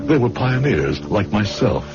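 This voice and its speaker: A naive young medical student in an old radio drama. His voice is low and swings expressively between uneasy questions and literary, confessional narration. The recording is dull, with music behind him. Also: big pitch swings, measured pace. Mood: grave, regretful.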